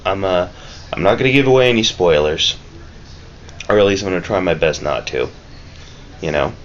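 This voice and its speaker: Very deep-voiced man, halting vlog talk. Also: lively intonation. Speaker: vlogger reviewing a film. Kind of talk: casual, rambling vlog review. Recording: dull lo-fi mic with clear background noise.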